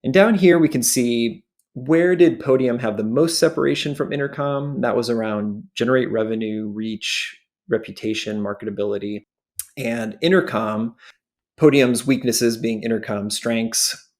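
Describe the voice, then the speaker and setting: A man's voice: medium pitch, normal speed, darkish tone. A man hosting a product walkthrough, explaining things in short phrases as commentary. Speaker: show host and presenter.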